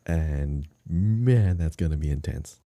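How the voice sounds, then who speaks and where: A man with a deep and extremely mellow, dark voice, chatting casually as a gaming podcast co-host. The sound is dry and close, with no room echo. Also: animated, slow pace.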